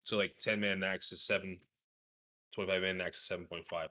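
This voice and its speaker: A man's voice: low-pitched, flat delivery, fairly quick, bright, forceful tone. A man in a casual gaming podcast conversation, explaining details in an informal, knowledgeable way. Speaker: podcast guest.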